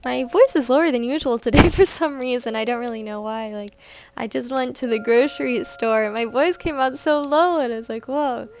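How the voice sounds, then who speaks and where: A woman's voice: a little high, fairly quick, background noise. A young woman chatting casually in a rambling voice-over about her drawing, with fillers and light laughs. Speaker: art vlogger. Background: soft background music.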